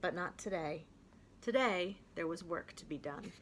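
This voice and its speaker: A woman reading a children's picture book aloud, with gentle, expressive and clear storytelling. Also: on the low side, unhurried, noisy background.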